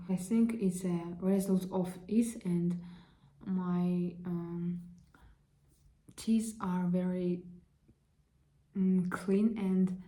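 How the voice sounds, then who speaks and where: A young woman from Kazakhstan with a Kazakh accent, introducing herself in halting, careful learner's English full of fillers. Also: fairly low pitch, mellow. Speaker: vlogger. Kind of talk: casual self-introduction.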